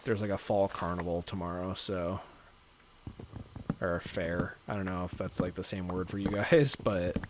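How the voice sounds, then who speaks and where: Tiefe, lässige nordamerikanische Männerstimme. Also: male voice, fairly even intonation, normal speed, noisy background. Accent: North American. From North America. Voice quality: low natural voice, chill voiceover tone. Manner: rambling, many "like" fillers, laughs often. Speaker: streamer. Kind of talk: casual livestream chat.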